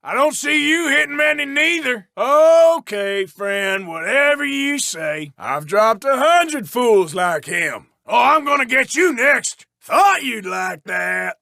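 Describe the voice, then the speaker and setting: An actor playing a sneering, violent outlaw in a video game, shouting gravelly threats and insults at lawmen. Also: male voice, very high voice, dry recording, studio quality, full character voice. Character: taunting violent outlaw man fighting lawmen. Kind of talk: video game combat barks.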